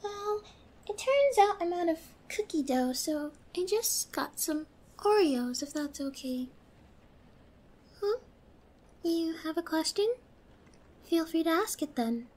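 Very high-pitched, girlish yandere girlfriend. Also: animated, darkish tone, heavily acted. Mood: coy, gentle. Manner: slow, coy, drawn-out, slipping into whispers. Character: obsessed, possessive yandere girlfriend, sweet and menacing, young. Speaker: ASMR roleplay performer. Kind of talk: ASMR roleplay acting.

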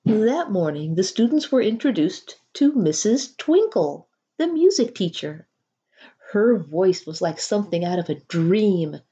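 A middle-aged woman reading a children's picture book aloud expressively, with deliberate emphasis. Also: lowish voice, warm tone, breathy edge, dull audio. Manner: deliberate, drawn-out emphasis, pausing to ask listeners questions. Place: small home room, indoors.

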